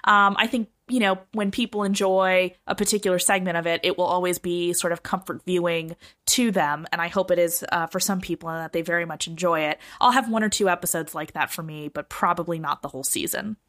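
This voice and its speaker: A woman co-hosting a podcast, giving her opinions in a casual, measured and thoughtful conversational way. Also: fairly low pitch, steady tone, a bit bright. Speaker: podcast co-host.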